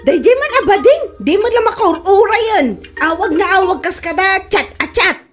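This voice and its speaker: High-pitched Ilocano wife acted by a woman. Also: lively intonation, normal speed, a bit bright, soft background music. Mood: indignant. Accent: Filipino Ilocano. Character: hard-up, complaining wife forever borrowing from neighbours. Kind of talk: comedy radio drama acting.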